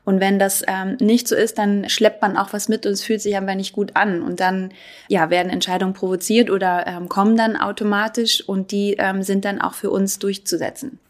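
A woman's voice: medium pitch, level tone, normal speed. A woman who co-founded a hotel, talking earnestly as an interview guest, with some hesitation. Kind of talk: interview talk.

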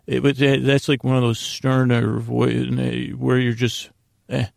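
A man with a gravelly, creaky voice rambling through a comedic monologue as a podcast host, halting, meandering and self-deprecating. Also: medium pitch, little pitch movement, a little mellow, no room sound, clean studio sound.

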